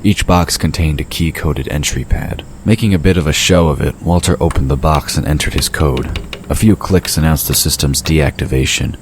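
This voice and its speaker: A man's voice: rumbling low, normal speed. A man reading scripted narration for an audio drama. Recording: noisy background of ambience effects and vehicle sound.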